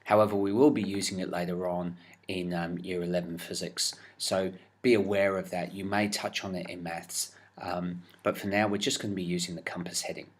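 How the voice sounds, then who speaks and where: A man with a slightly low voice teaches a science lesson for students. He explains patiently and step by step, often pausing on fillers as he works through each idea. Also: level tone. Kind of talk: explanatory classroom video lesson.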